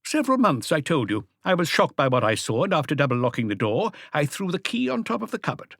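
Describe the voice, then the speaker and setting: An evasive, wealthy husband from a mystery audiobook, played by a male narrator, in a raised voice. He is formal and haughty, defensive and halting, recorded very dry. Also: on the high side, sing-song, creaky, fairly bright tone, dry recording, pitched above the performer's own voice, full character voice.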